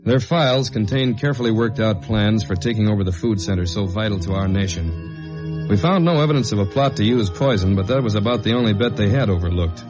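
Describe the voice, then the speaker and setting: Guy acting tough wry radio detective, lowish voice, sure of himself, muffled vintage recording. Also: fairly quick, over music, close to an everyday voice. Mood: matter-of-fact narrating. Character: tough, confident male investigator, wry and brisk. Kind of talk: 1950s radio detective drama acting and narration.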